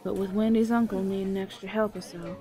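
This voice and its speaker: A woman's voice: mid-range voice, fairly even intonation, brisk, dark tone, close to an everyday voice, poor audio. A woman playing a brash, bossy but motherly small-town eatery woman, blunt and teasing, in an audio drama. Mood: frank, reassuring. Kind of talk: audio theater acting.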